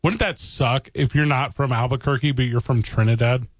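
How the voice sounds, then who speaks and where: A man's voice: medium pitch, steady tone, normal speed, no room sound. A man from the St. Louis area co-hosting a casual sports podcast, riffing and joking. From St. Louis area, USA. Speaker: podcast co-host.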